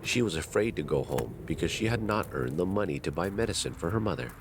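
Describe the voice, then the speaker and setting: A man with a deep, low voice narrates a fairy tale. His delivery is dramatic and richly varied in pitch, with faint ambient noise behind him. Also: expressive, fast.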